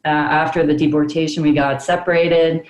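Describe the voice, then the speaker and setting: Low-voiced woman explaining on a call. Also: little pitch movement, moderate pace, a little mellow, roomy sound. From Prince Edward Island, Canada. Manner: chatty, explanatory, laughs often. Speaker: band member, interviewee. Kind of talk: casual interview over video call.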